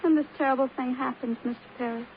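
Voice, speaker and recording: High-voiced, brittle American teenage girl. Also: fairly even intonation, fast. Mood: dreamy, brittle. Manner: dreamy, rambling, flippant. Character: frail, brittle, defiant teenage working girl, female. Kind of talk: 1950s radio crime drama acting. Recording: muffled old radio recording, faint noise.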